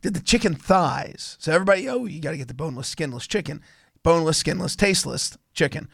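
A man's voice: on the high side, lively intonation, fast, vocal fry, a bit bright, dry recording, clean studio sound. A man with laryngitis hosting a casual motorsport show on college radio, rambling and often restarting his sentences. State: hoarse from laryngitis. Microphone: studio microphone.

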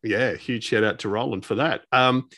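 A man's voice: medium pitch, steady tone, moderate pace, bright, forceful tone, no echo, clean studio sound. A man co-hosting a casual interview, enthusiastic, with long rambling questions. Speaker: podcast co-host, interviewer.

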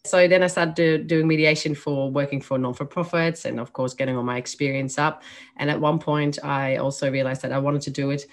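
A woman with a Dutch accent and a low voice speaks as a mediator being interviewed. She talks candidly and chattily, with a self-deprecating touch. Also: fairly even intonation, fairly quick. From Netherlands. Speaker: mediator and trainer. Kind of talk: interview answers.

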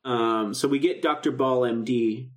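A man's voice: mid-range voice, fairly even intonation, a little slow. Enthusiastic man chatting as podcast co-host. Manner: enthusiastic fan, acts out lines in asides. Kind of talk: casual chat reviewing a radio drama.

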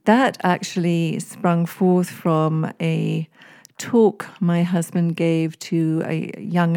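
A woman's voice: fairly low pitch, dark tone, no room sound, studio quality. A woman from East Africa with an English accent, a podcast guest speaking in a thoughtful, articulate, somewhat formal way. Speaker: guest author. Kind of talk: podcast interview.

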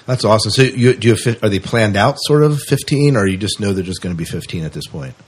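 A man with a deep, somewhat rough voice hosts a podcast interview. He talks casually, in a conversational style, as he puts questions and comments to his guest. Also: lively intonation, fast talker.

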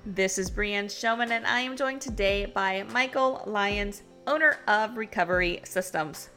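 A woman's voice: mid-range voice, a little slow, bright, forceful tone, faint music behind. A woman hosting a podcast, opening the episode and interviewing a guest in an upbeat, eager way. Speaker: podcast host. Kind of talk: podcast interview, scripted intro.

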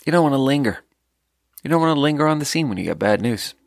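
A man's voice: medium pitch, lively intonation, fast talker, vocal fry, no echo, clean studio sound. A man from Sweden, hosting a podcast, telling a rambling, profane story in casual chat and laughing at his own jokes. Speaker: podcast host.

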